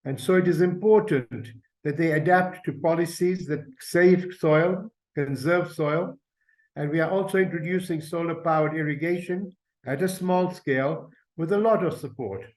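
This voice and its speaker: An older man from Uganda speaks as a guest presenter in an online session. His voice sits slightly high, and his delivery is earnest and measured, like unhurried storytelling. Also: darkish tone. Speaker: invited speaker, company representative. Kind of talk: webinar presentation.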